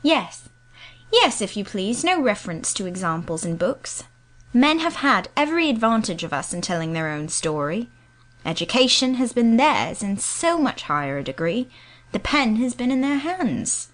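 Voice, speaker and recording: A woman voicing a thoughtful, gentle young woman in an audiobook, measured and earnest. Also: fairly high pitch, very animated. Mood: reasoning. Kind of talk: audiobook character reading.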